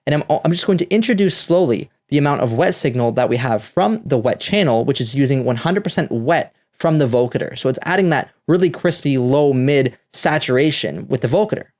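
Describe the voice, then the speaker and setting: A man's voice: mid-range voice, expressive, fairly quick, hardly any pauses, darkish tone. A man hosting a music production tutorial, talking through the steps in a casual, instructional way.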